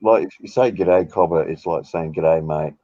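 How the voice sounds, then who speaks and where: A man hosting a casual voice chat, easygoing and quick to laugh. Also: sits low, dark tone, lo-fi sound.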